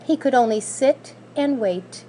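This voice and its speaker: A woman reading a children's story aloud in an expressive, scripted narrating style. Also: high voice, a little slow, slight background noise. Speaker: school staff member reading aloud.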